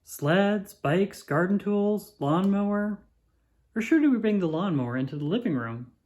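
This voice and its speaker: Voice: mid-range voice, animated, unhurried, darkish tone, pitched above the performer's own voice, lightly acted. A storybook narrator voicing a curious, playful young child with an eager, questioning manner.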